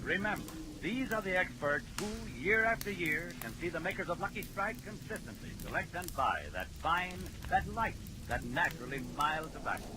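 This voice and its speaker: A man's voice: high voice, lively intonation, normal speed, few pauses. A man with an American accent reading a 1940s radio commercial as an announcer. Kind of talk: 1940s radio advertising read. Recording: noisy old 1940s radio broadcast.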